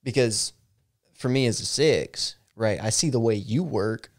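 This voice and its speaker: A young man co-hosting a podcast in casual chat, animated and quick to laugh, often tagging "right?" on his points. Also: mid-range voice, no room sound, studio quality. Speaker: podcast co-host. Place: small home studio room. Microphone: boom-arm podcast mic.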